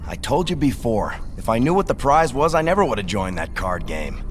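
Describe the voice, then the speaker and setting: An actor playing an irritable, businesslike second-in-command in a video game. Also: male voice, mid-range voice, very animated, a little fast, a little hiss or hum, lightly acted. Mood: exasperated. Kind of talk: video game cutscene acting.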